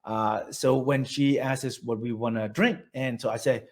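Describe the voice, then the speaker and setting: A middle-aged man with a Vietnamese accent recalls his past in an oral history interview. His voice sits at an ordinary pitch for a man. He rambles, restarts his sentences and fills his pauses with "uh". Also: fairly even intonation. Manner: rambling storytelling with many restarts and fillers.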